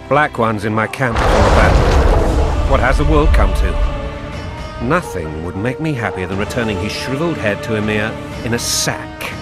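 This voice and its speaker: A man's voice: on the low side, lightly acted. An actor playing a proud, irascible middle-aged king in a fantasy game, imperious and sardonic. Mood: stern, scornful. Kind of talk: dramatic fantasy game acting. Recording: loud dramatic music behind the voice.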